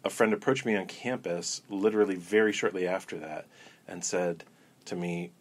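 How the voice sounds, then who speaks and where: Low-voiced man telling a long story. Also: moderate pace. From Florida. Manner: relaxed, rambling with many ums and restarts. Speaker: interview guest, coven elder. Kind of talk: casual storytelling interview.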